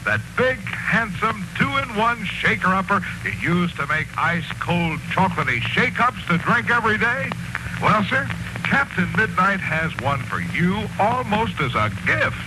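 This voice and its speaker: Vintage radio pitchman: male, emphatic, very lively high delivery, noisy old broadcast sound. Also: measured pace. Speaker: radio announcer. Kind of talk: 1940s radio commercial announcing.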